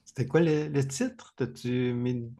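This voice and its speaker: A man with a Quebec French accent hosting a casual interview, speaking haltingly and repeating words. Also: mid-range voice, dark tone. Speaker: interview host.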